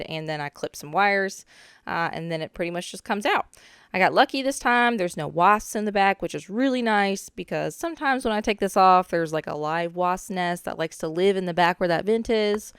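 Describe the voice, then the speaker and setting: A young woman, a little hoarse from a cold, casually narrating her own renovation footage with chatty asides. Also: lowish voice, no room sound, clean studio sound. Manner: chatty, relaxed, with frequent "um" asides. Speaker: DIY renovation vlogger.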